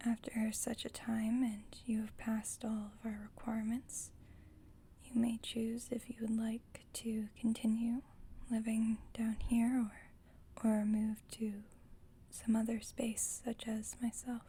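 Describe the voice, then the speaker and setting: A woman playing a calm, formal, caring vampire coven leader in a whispered ASMR roleplay, speaking in a gentle, measured, breathy whisper. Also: on the high side, heavily acted. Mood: polite, composed.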